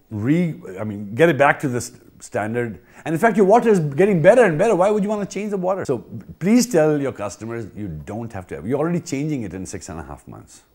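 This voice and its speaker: A man's voice: fairly high pitch, a little mellow. A man with an Indian accent giving an informal training talk, emphatic and repetitive, often checking in with rhetorical questions. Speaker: pool company trainer.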